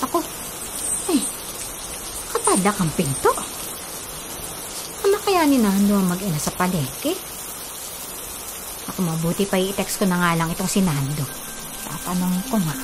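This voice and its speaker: Fretful Filipino neighbour in noisy rain. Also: female voice, fairly low pitch, big pitch swings, measured pace, pauses often. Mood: puzzled, anxious. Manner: exclaiming, muttering to herself. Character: fretful, concerned woman neighbour. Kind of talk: Filipino radio drama acting. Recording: loud rain sound effects.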